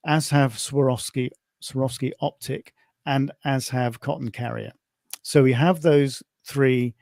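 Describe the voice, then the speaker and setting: A man with a British accent hosting an informal online presentation as a competition organiser, speaking in a conversational, halting way. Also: mid-range voice, darkish tone, dry recording, studio quality. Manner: conversational, halting, often repeats "you know".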